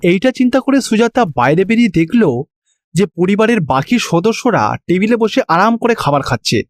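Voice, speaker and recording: Casting: Bengali male narrator for moral cartoons, high-pitched, lively intonation, dry close sound. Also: normal speed, dry recording. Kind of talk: scripted cartoon story narration.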